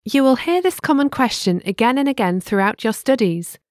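A woman narrating a scripted language lesson, giving clear, measured instructions. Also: on the high side, very animated, a little mellow, no room sound, clean studio sound.

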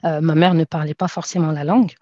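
A woman's voice: sits low, fairly even intonation, fast, dark tone, no room sound. A woman in her thirties from France, a podcast guest talking casually and hesitantly with many fillers and restarts. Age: 30s. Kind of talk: casual conversational interview. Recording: dull, dry lo-fi sound.

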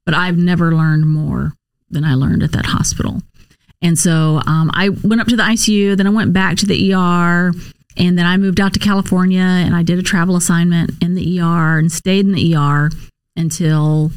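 A woman's voice: on the low side, steady tone, normal speed, clear, resonant tone, no room sound. An American woman answering interview questions conversationally, in a candid, explanatory way with emphatic repetition. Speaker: interview guest, nurse case manager and former Marine officer.

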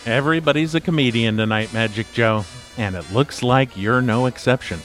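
A man's voice: mid-range voice, normal speed, fairly bright tone, faint music behind, close to an everyday voice, poor audio. A man playing a long-suffering, sarcastic podcast host in a comedy audio drama, speaking drily. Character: exasperated, sarcastic male podcast host herding his friends.

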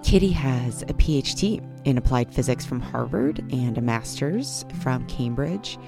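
A woman's voice: very deep voice, expressive, unhurried, vocal fry, over music. A woman hosting an interview show, reading a scripted introduction and asking curious, enthusiastic questions. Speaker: podcast host.

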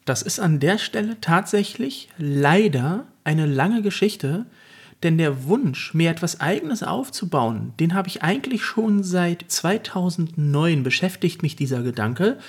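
A man's voice: a little high, very animated, unhurried, slightly rough. A man co-hosting a podcast, telling his story in an earnest, reflective way. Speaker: podcast co-host. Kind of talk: casual podcast chat.

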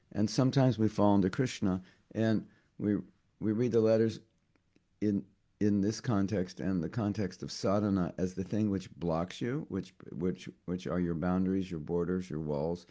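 A hoarse man giving a devotional class, talking haltingly and often repeating words as he thinks. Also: fairly low pitch, warm tone. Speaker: spiritual teacher.

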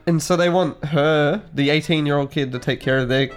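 A man's voice: fairly high pitch, steady tone, fairly quick, soft background music. A young man co-hosting a casual podcast, congested, rambling with lots of "like" and swearing. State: congested, snotty. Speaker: podcast co-host. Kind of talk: casual chat.

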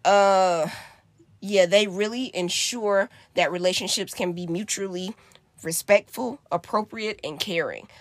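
A woman's voice: mid-range voice, a little slow, dry recording. A woman co-hosting a casual podcast, speaking emphatically and affirmingly. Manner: warm-hearted, emphatic, affirming. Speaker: podcast co-host.

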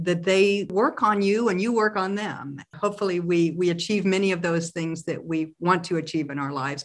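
Middle-aged businesswoman guest from Oklahoma, lowish voice, measured storyteller. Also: a bit bright. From Oklahoma, USA. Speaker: guest, company president. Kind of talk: interview storytelling.

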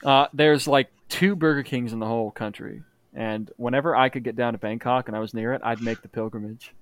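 A young man telling stories in casual podcast chat, animated and jumping into put-on voices. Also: fairly quick. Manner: animated storyteller, jumps high into put-on voices. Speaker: podcast co-host.

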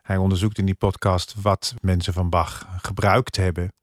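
A man's voice: sits low, little pitch movement, moderate pace, no room sound, studio quality. A man with a Dutch accent chatting on a podcast panel, explaining things effusively. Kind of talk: casual opinionated chat.

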